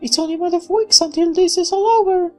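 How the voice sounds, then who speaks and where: Man putting on a very high, gentle young woman. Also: fast talker, soft background music. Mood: caring, composed. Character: polite, caring young woman, a colonel's daughter.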